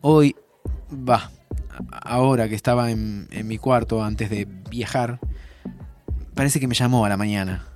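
An actor playing a needy, wistful male time traveler in an audio drama, speaking halting, emotional Argentine Spanish. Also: fairly low pitch, a little mellow, faint music behind, lightly acted. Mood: hesitant, wistful. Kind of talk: naturalistic audio drama acting.

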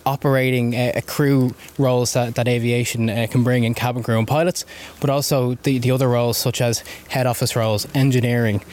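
A man's voice: mid-range voice, little pitch movement, brisk, hardly any pauses, darkish tone. A young man, an airline recruitment officer, speaking eagerly in an interview. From Ireland. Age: young adult.

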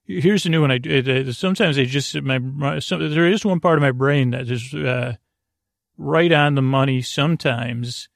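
A man hosting a sleep podcast in a creaky voice, delivering a soothing, meandering bedtime monologue that halts and restarts mid-phrase. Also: medium pitch, moderate pace, no room sound, studio quality. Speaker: podcast host.

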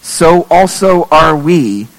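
Male pastor, hoarse, losing his voice. Earnest, measured sermon delivery with a long hall reverb. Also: on the high side, lively intonation. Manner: earnest, measured preaching with scripture readings.

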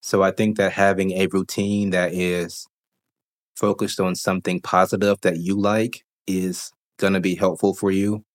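A man hosting a solo podcast, talking calmly and reflectively while getting over a cold. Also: low-pitched, measured pace. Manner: calm, reflective, thinks aloud with fillers. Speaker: podcast host.

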